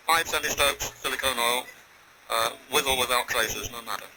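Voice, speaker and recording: Male scientist, medium pitch, courteous precise instructions, noisy radio transmission. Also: moderate pace, vocal fry, sharp tone. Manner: precise, polite, formal phrasing. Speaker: scientist on the ground instructing crew. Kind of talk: technical instructions over radio. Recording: noisy space radio link.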